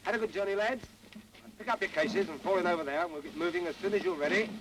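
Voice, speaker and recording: An actor playing a British drill corporal shouting parade commands, in a 1940s film. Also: male voice, sits high, fast, close to an everyday voice. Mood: ordering. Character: loud male drill corporal. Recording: hissy 1940s film soundtrack.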